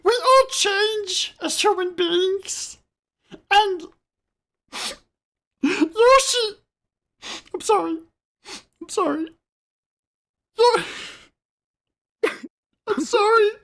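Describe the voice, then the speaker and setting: A small cartoon dinosaur with an extremely high voice. The creature speaks slowly and haltingly, pleading for forgiveness. It is overwrought and full of self-pity, in the style of a mock apology video. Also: male voice, creaky, pushed and bright, heavily acted. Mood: apologetic, halting.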